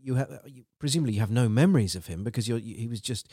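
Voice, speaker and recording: A man's voice: mid-range voice, lively intonation, rapid-fire, mellow, husky, dry recording, studio quality. A man from the United Kingdom hosting a podcast interview, eager and rambling with many restarts. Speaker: podcast host.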